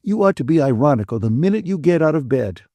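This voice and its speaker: A man's voice: expressive, fast, warm tone, no room sound. An audiobook narrator voicing a teasing, wisecracking young man who lectures his friend in a playful, mocking way. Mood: bantering. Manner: mock-lecturing, bantering, high and lilting. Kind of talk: audiobook character voice.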